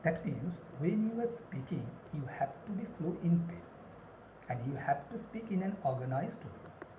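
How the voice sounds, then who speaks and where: A woman teaching spoken English in a deliberate, instructional way, repeating key phrases for emphasis. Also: on the low side, lively intonation. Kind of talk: instructional lesson with numbered points and examples. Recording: roomy echo, clear background noise.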